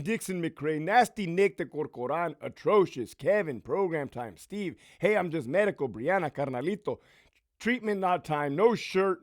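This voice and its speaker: Brash, profane man with a lively voice. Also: high-pitched, no echo, clean studio sound. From California. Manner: brash, profane, laughs often, streetwise slang. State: losing his voice. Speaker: YouTube host, former prison officer. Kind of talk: casual profane monologue, reading supporter names.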